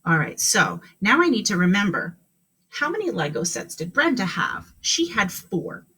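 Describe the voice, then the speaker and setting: A woman's voice: medium pitch, very animated, normal speed, pushed and bright, husky. A woman teaching kindergarten on a live stream, speaking encouragingly to children in simple questions and instructions.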